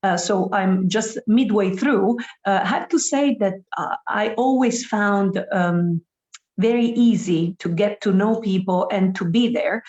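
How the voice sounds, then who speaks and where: An Italian woman speaks English with a clear accent in a relaxed book club chat. She hesitates often and restarts her sentences. The sound is echoey and a little dull. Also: medium pitch, unhurried. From northern Italy. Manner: hesitant, many fillers and restarts. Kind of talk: casual discussion.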